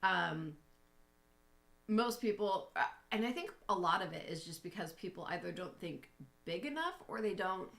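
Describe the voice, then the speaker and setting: A woman's voice: lowish voice, expressive, normal speed, creaky, a bit bright, echoey room. A woman with a sore throat co-hosting a casual live talk, candid and reflective, with frequent fillers. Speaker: co-host and coach. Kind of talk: casual conversation.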